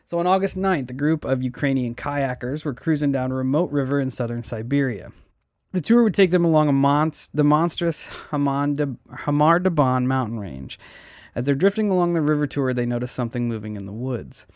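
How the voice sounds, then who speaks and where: A man's voice: medium pitch, expressive, brisk, dry recording, studio quality. A man hosting a podcast, casually telling a mystery story, swearing often and stumbling over names. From United States. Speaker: podcast host.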